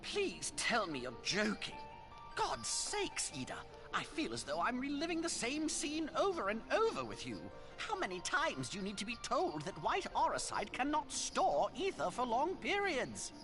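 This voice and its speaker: A stern, scolding woman scholar in a video game. Her fairly high voice swings dramatically as she lectures with pedantic precision over music. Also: wildly swooping pitch, moderate pace. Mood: exasperated, stern. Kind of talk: video game cutscene acting.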